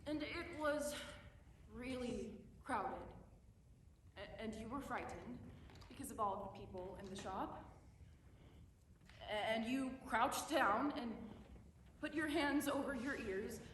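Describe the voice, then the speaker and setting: A young woman performing a dramatic monologue as a remorseful mother writing to her estranged son, speaking haltingly with stumbling restarts. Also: on the high side, fairly bright tone. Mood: anxious. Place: competition room, indoors. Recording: echoey room, distant mic, faint background hum.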